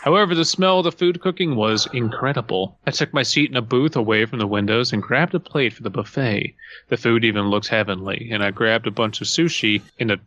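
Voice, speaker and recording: A man's voice: mid-range voice, brisk, a bit bright, lo-fi sound. A man podcast co-host chatting casually and reading a story aloud, jokey and profane, often breaking off for asides.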